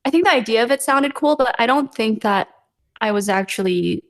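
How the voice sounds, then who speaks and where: A woman's voice: a little high, lively intonation, a little fast. A young woman from Los Angeles, an artist guest on a podcast, speaking hesitantly with many fillers and small laughs. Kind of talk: casual interview chat.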